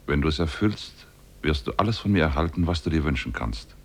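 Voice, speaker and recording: An actor playing a talking ape that is secretly a jinn, solemn, commanding and measured, in a 1950s German radio drama. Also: male voice, extremely low pitch, expressive. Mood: solemn, urging.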